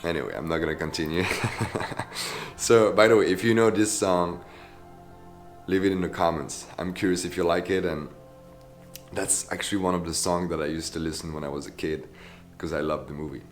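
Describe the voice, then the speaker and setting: Very deep-voiced male voice coach. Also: steady tone, fairly quick, faint music behind. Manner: enthusiastic, tags sentences with "yeah?". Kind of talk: casual video tutorial.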